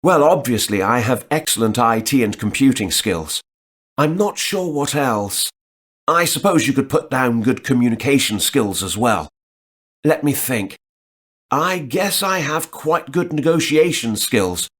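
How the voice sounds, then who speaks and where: A relaxed job applicant in a textbook listening dialogue. He answers at a mid pitch and pauses to think aloud between answers. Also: husky.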